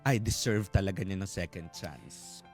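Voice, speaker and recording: A man's voice: low-pitched, normal speed, a little mellow. A man with a Filipino accent hosting a radio advice show, talking conversationally in Taglish and feeling out the phrasing, in a studio. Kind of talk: casual radio advice talk. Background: background music.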